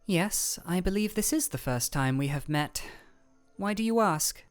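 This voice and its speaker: A woman playing an aloof, regal ice elemental royal in a scripted fantasy audio roleplay, speaking in a formal, measured, deadpan way. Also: on the low side, smooth, soft background music. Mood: cool, matter-of-fact.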